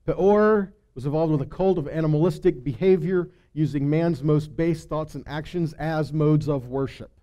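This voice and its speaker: A man's voice: fairly high pitch, normal speed, few pauses, warm tone. A man teaching a Bible study, reading scripture and explaining it conversationally, with emphasis on key phrases and frequent check-ins with listeners.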